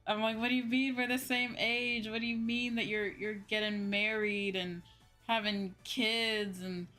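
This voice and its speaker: A young adult woman in a casual livestream chat, talking as a streamer to viewers in an animated, exclamatory way with acted-out asides. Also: a little high, measured pace. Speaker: VTuber streamer chatting with viewers. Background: background music.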